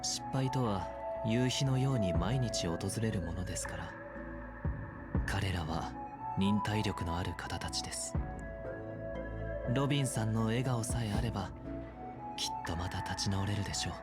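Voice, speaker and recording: A man's voice: fairly low pitch, natural voice. A man playing a polite, formal, concerned hotel attendant in a video game. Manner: polite, formal, measured wording. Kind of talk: Japanese video game voice acting. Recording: game audio with loud music behind.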